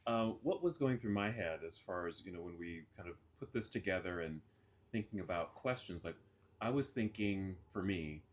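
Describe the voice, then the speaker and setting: A man's voice: low-pitched, expressive, normal speed. A man in his fifties co-hosting a podcast, laughing easily and musing in a meandering, reflective way. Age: 50s. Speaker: podcast co-host. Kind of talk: casual conversation.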